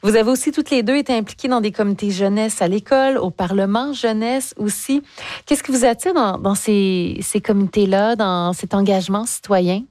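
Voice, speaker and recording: A woman's voice: mid-range voice, normal speed, a little mellow, no echo. A woman hosting a conversational interview. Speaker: interview host.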